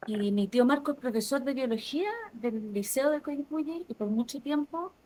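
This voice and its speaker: Soft-spoken Chilean woman in her forties. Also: sits high, moderate pace. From southern Chile. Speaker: interview guest. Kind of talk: casual interview conversation.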